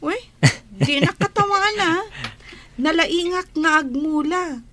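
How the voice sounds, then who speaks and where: A woman's voice: extremely high pitch, slow, sharp tone, slight background noise, heavily acted. A woman acting the part of a cheerful, sociable young single woman in an Ilocano radio drama. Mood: teasing.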